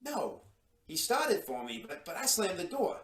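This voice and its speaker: A man's voice: sits high, huge pitch swings, unhurried, a bit bright, distant mic, heavily acted. An actor playing a nervous, crooked male secretary in an old-time radio drama, stammering and colloquial, in a roomy space. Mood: nervous, urgent.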